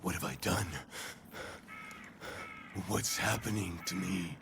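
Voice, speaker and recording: An actor playing a proud, anguished, enraged ancient Greek warrior in a video game cutscene, declaiming intensely and often shouting. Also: male voice, low-pitched, slow pace, vocal fry, background noise, full character voice. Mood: anguished disbelief.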